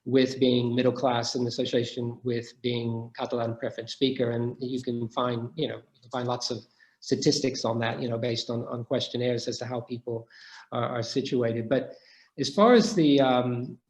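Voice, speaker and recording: A man's voice: medium pitch, level tone, moderate pace. Middle-aged man, academic Q&A speaker, hesitant and reflective, roomy echo. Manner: thoughtful, many restarts and hesitations. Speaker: guest speaker. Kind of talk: academic Q&A discussion.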